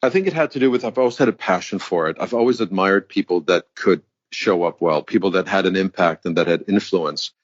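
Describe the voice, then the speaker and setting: A man's voice: low-pitched, fairly quick, hardly any pauses, dry recording. A man in his fifties from Germany, speaking, who tells his story as a guest executive coach in a reflective, articulate way. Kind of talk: interview conversation. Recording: dull, dry sound.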